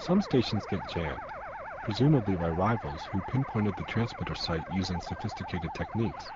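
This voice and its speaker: Man, radio documentary narrator, very lively intonation, slightly muffled sound, loud music behind. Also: on the low side, fairly quick. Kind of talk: scripted first-person documentary narration. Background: loud music and shortwave sounds.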